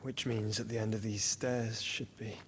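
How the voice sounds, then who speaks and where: An actor playing an intense, brooding warrior musing alone in a fantasy game, halting and deliberate. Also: male voice, on the low side, level tone, background noise, lightly acted. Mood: awed, foreboding. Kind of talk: cinematic fantasy game acting.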